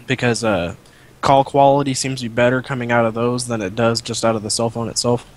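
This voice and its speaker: A man's voice: medium pitch, level tone, brisk, a little hiss or hum. Man chatting casually as a gaming guest. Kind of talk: casual chat on a live stream. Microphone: cheap headset.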